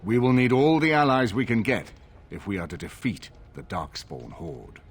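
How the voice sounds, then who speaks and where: Low-voiced, solemn commanding war adviser. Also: male voice, animated, background noise. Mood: grave urging. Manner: formal, measured, urging. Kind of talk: scripted video game dialogue.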